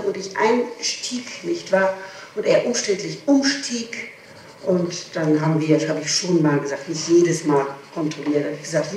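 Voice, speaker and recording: Halting woman on a very echoey old tape. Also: fairly low pitch, a little mellow. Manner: halting, repeats words and breaks off sentences. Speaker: person questioned in a murder investigation. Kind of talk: answering in a police interrogation. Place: echoey room, away from the microphone. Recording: echoey, noisy, distant 1960s tape recording.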